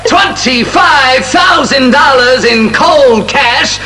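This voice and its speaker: Showy quiz emcee, hammy and patronizing, high voice, animated, music behind. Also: male voice, full character voice. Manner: hammy, drawn-out announcer shouts. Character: showy, patronizing male game show host. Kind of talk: audio drama acting as quiz show emcee.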